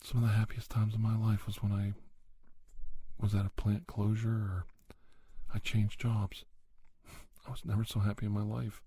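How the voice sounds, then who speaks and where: Low, husky middle-aged man, ASMR. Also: level tone, normal speed, long pauses, no room sound. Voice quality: soft-spoken, breathy, often slipping into whisper. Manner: encouraging, folksy pep-talk advice. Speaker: podcast host playing an advice-giving doctor. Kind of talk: ASMR, one-sided role-play conversation.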